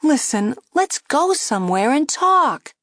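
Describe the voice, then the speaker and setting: An anxious young woman, as a narrator puts her on, her voice raised high and pressing with urgency. She pleads and hushes in turn, with a lively, tense lift to every line. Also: slow pace, no room sound, clean studio sound, exaggerated voice. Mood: pleading.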